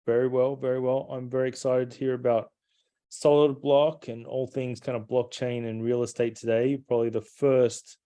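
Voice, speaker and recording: A man hosting a podcast interview in a casual, halting way, repeating words as he forms questions. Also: medium pitch, flat delivery, warm tone, clean tone. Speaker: podcast host.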